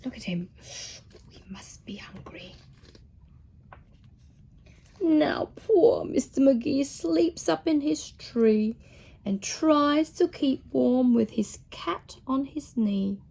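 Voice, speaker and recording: A woman with a high, very animated voice reads a picture book to young children. Her tone is soft and mellow and she takes her time, dropping into hushed asides as she goes. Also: slow, creaky, pauses often, breathy edge. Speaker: children's storybook reader, teacher.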